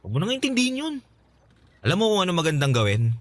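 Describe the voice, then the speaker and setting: A man's voice: high voice, huge pitch swings, racing, no echo. A man playing cheerful young Neil. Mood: warm, reassuring. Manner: cheerful, reassuring, laughs often. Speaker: radio drama actor. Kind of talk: Tagalog radio drama acting. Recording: radio drama with background music.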